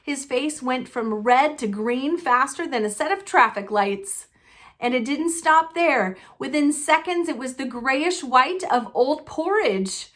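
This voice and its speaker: A woman narrating a children's novel in an expressive read-aloud style. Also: sits high, unhurried.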